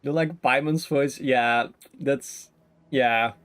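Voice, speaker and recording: A man's voice: medium pitch, takes it slowly, a bit bright, dry recording. A man giving casual, jokey live commentary on a game, often muttering in broken fragments. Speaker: game streamer.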